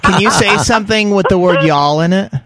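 A man's voice: high-pitched, fairly quick, a bit bright, no echo. A man co-hosting a morning radio show, eager and playful. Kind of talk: casual morning radio banter.

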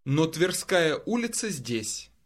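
A man's voice: on the high side, very animated. Man repeating drill answers expressively. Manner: careful, measured repetition. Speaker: model speaker in language drills.

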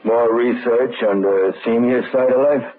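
An American actor playing a sly, mocking clerk at a seedy hotel in a 1940s-style radio drama, mock-courteous and husky. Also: male voice, mid-range voice, steady tone, measured pace, lightly acted. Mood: mocking, oily. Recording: thin, phone-like old radio recording.

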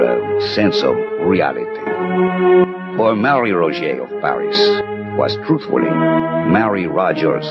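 Deep-voiced, commanding gentleman detective. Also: full character voice. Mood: solemn, inviting. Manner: theatrical, deliberate, declamatory. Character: shrewd, commanding French gentleman detective in 19th-century Paris. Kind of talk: 1960s radio drama acting. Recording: old muffled radio broadcast with loud music.

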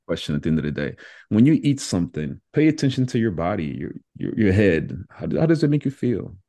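Low-voiced, animated man from Congo. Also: fairly quick, no echo. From Democratic Republic of Congo. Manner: earnest, rambling, asks rhetorical questions. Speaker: podcast guest. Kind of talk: casual interview chat.

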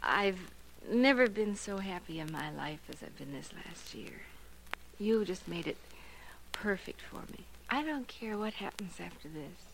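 An actress playing a lonely, devoted, lovestruck woman in a 1940s radio drama, breathless and halting. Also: on the low side, expressive, creaky. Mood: adoring, wistful. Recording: noisy vintage radio drama recording.

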